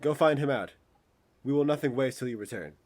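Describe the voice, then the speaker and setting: An actor playing a courteous, generous exiled duke in a Shakespearean radio play, formal and courtly in delivery. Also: male voice, medium pitch, fairly quick, natural voice. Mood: gracious.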